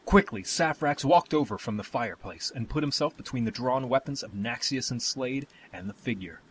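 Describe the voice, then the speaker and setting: A man narrating a scripted fantasy story as the storyteller, with dramatic, deliberate phrasing. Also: mid-range voice, lively intonation, a little hiss or hum, rough recording.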